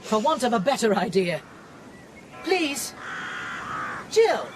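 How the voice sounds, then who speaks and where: A woman playing a rational, patient scientist in an audio drama, explaining things in a measured, thoughtful way. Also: on the high side, sing-song, noisy background. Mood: urgent.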